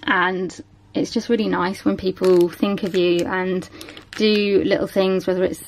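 A woman, chatting casually to camera at home and showing items, with lots of ums and a voice that is going a bit hoarse. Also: fairly low pitch, level tone, unhurried, slight background noise, poor audio. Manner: chatty, many ums, shows items one by one. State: losing her voice a bit. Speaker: vlogger. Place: small room at home, indoors.

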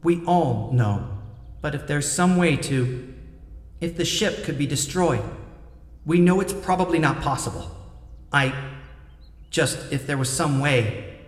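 A man's voice: a little high, measured pace, pauses often, pitched above the performer's own voice. A man voicing a brave, resolute teenage girl in an audiobook, earnest and pleading, with an echoing telepathic effect. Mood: grave pleading. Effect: echoing telepathic-voice reverb. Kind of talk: dramatised audiobook dialogue. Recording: echoing long artificial reverb.

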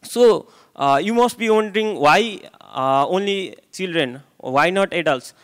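A young man with a Nepali accent speaks into a handheld microphone at a conference. His voice sits fairly high and sounds clean and smooth. He hesitates often, filling pauses and restarting phrases. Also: expressive. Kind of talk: conference presentation. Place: hotel conference room, indoors.

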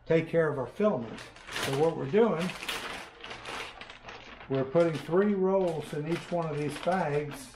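Older man, unhurried DIY instructor on a lapel mic, faint shop noise in the room. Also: fairly high pitch. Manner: unhurried, plainspoken, pauses with fillers while working. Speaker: workshop host. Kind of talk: casual how-to demonstration. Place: large workshop with shelves of printers, indoors.

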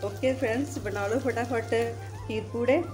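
An older Punjabi woman talks her viewers through a recipe in her home kitchen, chatty and homely. She repeats herself for emphasis. Music plays clearly behind her, with oil sizzling in the pan. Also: fairly high pitch, moderate pace, darkish tone. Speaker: cooking vlogger. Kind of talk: casual cooking demonstration.

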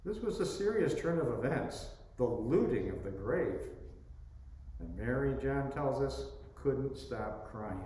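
An older man in his sixties, a priest reading a sermon slowly and deliberately from the pulpit, his voice rising and falling. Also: medium pitch. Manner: slow, deliberate delivery with lively rises and falls. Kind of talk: sermon read from a script. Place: church sanctuary by the organ pipes. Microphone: pulpit microphone on a stand. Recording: echoey church from a distant camera.

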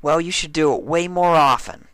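A man voicing a brash, excitable young female pegasus pony from a cartoon, gushing and exclaiming. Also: fairly high pitch, normal speed, clear, resonant tone, no room sound, voice pushed up, close to an everyday voice. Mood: excited, awed.

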